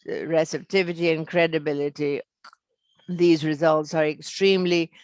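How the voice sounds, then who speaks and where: A financial presenter speaks at a slow pace on an earnings call, in a smooth, clean voice. The phrasing is halting and broken into fragments, and the sound is very dry with no room around it. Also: mid-range voice, no echo.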